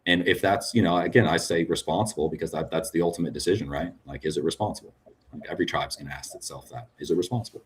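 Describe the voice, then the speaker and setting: A man's voice: deep voice, fast talker, slight background noise. A man speaking frankly as a podcast guest, often tagging his points with "right?". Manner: frank, tag questions with "right?". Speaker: cannabis business guest. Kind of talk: conversational podcast interview.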